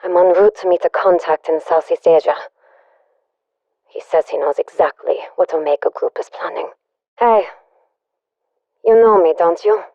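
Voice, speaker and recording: A woman's voice: lowish voice, moderate pace, long pauses, mellow, dry recording. An actress playing a wry, secretive German woman spy, confiding in a scripted video game audio log through a radio filter. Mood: guarded, friendly. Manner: wry, confiding, dryly joking. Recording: dull lo-fi radio recording.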